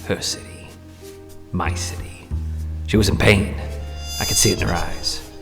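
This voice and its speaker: Male hard-boiled gumshoe for radio drama: deep, terse, sardonic, faint music underneath. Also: fairly even intonation, a little slow. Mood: brooding. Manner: terse, sardonic tough-guy slang. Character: hard-boiled, weary noir male private eye. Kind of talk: noir radio play narration and dialogue. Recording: music bed under voice, amateur audio.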